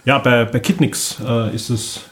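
Casting: man, average pitch, informal podcast moderator with a chatty delivery. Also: fairly even intonation, unhurried. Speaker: podcast host. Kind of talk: casual chat, moderating.

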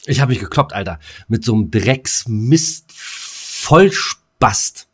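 A man ranting casually as a podcast co-host, using crude slang in an agitated, halting way. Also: medium pitch, animated, a bit bright, slightly rough.

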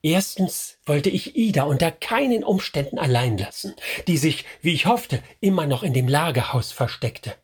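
A man narrating a radio drama in the first person, measured and reflective. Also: a little high, animated, husky. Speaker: narrator character.

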